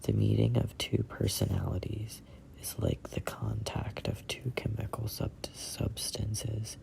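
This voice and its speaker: A person in their twenties reading a book aloud as a whispered ASMR read-along, in halting, deliberate phrases. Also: medium pitch, fairly even intonation, creaky, darkish tone, rough recording. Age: 20s. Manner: halting, deliberate phrasing with stumbles over words. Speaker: reader of a self-help book.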